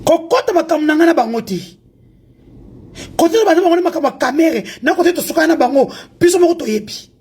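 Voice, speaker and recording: A woman's voice: high-pitched, expressive, brisk, a little mellow. A woman from Congo delivering a heated, confrontational rant, taunting and defiant as she moves between two languages. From Congo (DRC). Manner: confrontational, taunting, mixes French and Lingala.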